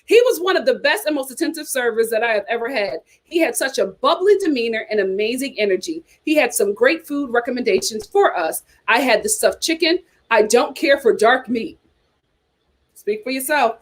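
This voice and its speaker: A woman's voice: fairly high pitch, lively intonation, normal speed. An American woman hosting a casual chat show, reading aloud and commenting with playful, teasing asides. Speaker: host of a reality TV chat show.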